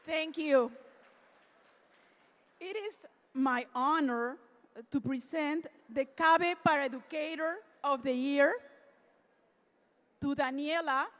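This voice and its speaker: A woman presenting an award at a formal luncheon, reading prepared remarks in a warm, earnest way. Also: high-pitched, slow, pauses often. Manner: reads prepared remarks, grows emotional. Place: large banquet hall. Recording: hall reverb from a PA system.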